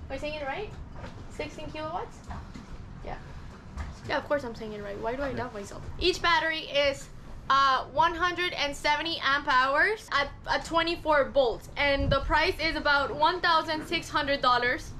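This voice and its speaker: A woman's voice: high-pitched, pushed and bright, a little hiss or hum. Chatty woman vlogging on a boat. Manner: chatty, self-doubting, explains with hand gestures. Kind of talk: casual vlog explanation. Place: cramped boat cabin, indoors. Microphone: handheld camera at arm's length.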